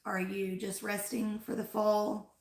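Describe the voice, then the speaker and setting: A woman's voice: mid-range voice, little pitch movement, unhurried, creaky. A woman hosting a flower-farm livestream in casual chat, rambling with lots of "like" and "um", her voice worn after being sick. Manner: rambling, fills with "like" and "um". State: losing her voice after an illness. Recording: echoey room.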